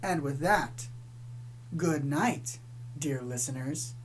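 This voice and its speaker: A woman playing a solemn, ominous pony radio host in a scripted radio drama, with a measured, theatrical delivery. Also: low-pitched, slight background noise, pitched below the performer's own voice, low-quality recording. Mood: ominous, hushed. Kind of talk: scripted radio drama narration, spoof community radio.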